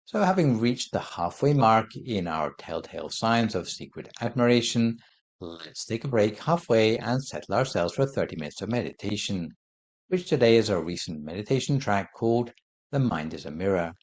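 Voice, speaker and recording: A Buddhist monk giving a calm dharma talk, explaining his points in a measured, gentle way. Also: on the low side. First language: not Thai.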